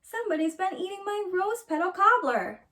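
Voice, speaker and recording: A woman's voice: extremely high pitch, big pitch swings, moderate pace, pushed and bright, distant mic, pitched above the performer's own voice, heavily acted. A woman voicing a stern but motherly middle-sized mama bear in a storybook. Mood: indignant. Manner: stern, scolding. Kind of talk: animated storybook read-aloud.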